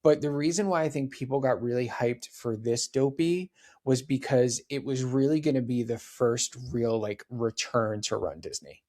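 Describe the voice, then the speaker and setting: A man's voice: medium pitch, moderate pace, darkish tone. A man in his forties, a podcast guest, chatting casually and dropping lots of "like" and "right?" asides. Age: 40s. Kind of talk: casual interview chat.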